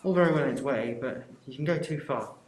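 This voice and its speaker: A man's voice: medium pitch, quick pace, reverberant, lightly acted, poor audio. A teenage boy playing a grumbling, henpecked husband who mumbles. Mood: advising. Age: teens.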